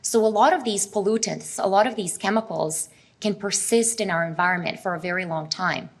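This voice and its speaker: A woman's voice: medium pitch, a little fast. A middle-aged woman from Serbia, giving an earnest, explanatory presentation to a live audience at a health expo and asking them questions.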